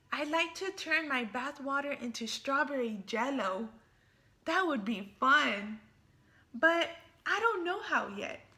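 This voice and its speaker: Storybook read-aloud: a make-believe little fairy girl, voiced by a woman, high and bright, slow and lively. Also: heavily acted. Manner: lively storybook reading with playful emphasis. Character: imaginative little girl who thinks she's a fairy. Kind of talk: reading a picture book aloud.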